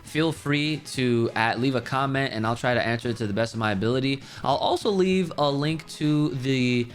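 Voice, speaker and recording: A man giving a casual software tutorial, explaining things conversationally and speaking directly to the viewer. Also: mid-range voice, moderate pace. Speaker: tutorial host. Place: voice-over on a screen recording of a game.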